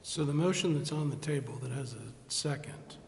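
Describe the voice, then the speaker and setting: A man's voice: medium pitch, moderate pace, vocal fry. A man asking clarifying questions at a public meeting. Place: indoor council chamber. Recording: echoey chamber with faint noise.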